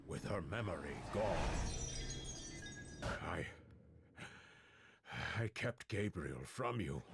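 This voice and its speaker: A man playing a grave, embittered older monster-hunting master in a fantasy game, deliberate and dramatic, with music behind. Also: on the low side, creaky, full character voice. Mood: remorseful, weary. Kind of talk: fantasy game character acting.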